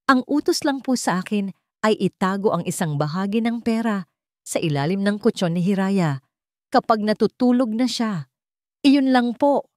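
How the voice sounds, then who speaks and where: A woman's voice: mid-range voice, lively intonation, normal speed, dry recording, clean studio sound, pitched above the performer's own voice, close to an everyday voice. A woman voicing a frightened, submissive maid who pleads tearfully in an audio drama story. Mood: terrified, pleading. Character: frightened, tearful young maid begging for mercy.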